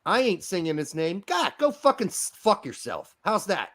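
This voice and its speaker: Brief: fifty-year-old American-accented man, co-host, profane ranting, lively pitch swings. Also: normal speed. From Germany. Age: 50s. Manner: profane ranting, loud outbursts. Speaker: podcast co-host. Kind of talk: casual chat.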